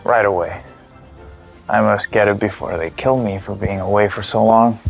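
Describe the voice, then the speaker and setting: Kindly servant, low heavy vocal fry, gentle, protective, cautious, audio drama. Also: male voice, moderate pace, soft background music. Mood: warm, teasing. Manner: gentle, concerned. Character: kind, cautious male servant, cook turned maid, protective friend. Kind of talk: audio drama character acting.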